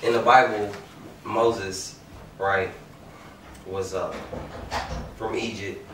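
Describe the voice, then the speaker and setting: A man on a podcast talking bluntly and profanely, breaking into loud outbursts. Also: lowish voice, fairly even intonation, very slow. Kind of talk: casual heated chat. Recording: roomy room from a distance, clear hiss.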